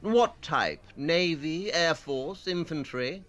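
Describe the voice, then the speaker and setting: A man's voice: on the high side, expressive, slow pace, fairly bright tone. An actor playing a brusque, impatient, commanding male detective in an audio drama, curt and precise. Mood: brisk, probing.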